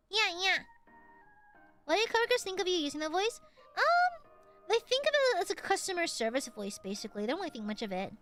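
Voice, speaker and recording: A woman's voice: very high-pitched, very animated, fairly quick, pauses often, a bit bright, soft background music. A woman streamer with a nasal voice, chatting casually with her viewers, rambling, giggling and swearing freely.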